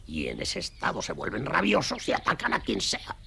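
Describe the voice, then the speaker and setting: A man voicing a grave, anxious woodsman with a raspy, creaky voice in a dubbed animated series. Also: medium pitch, moderate pace, full character voice. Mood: grave warning. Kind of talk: animated series dub acting.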